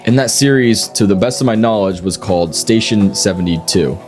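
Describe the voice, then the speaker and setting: A man's voice: lowish voice, normal speed, a little mellow. A man narrating a scripted documentary-style video essay. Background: background music.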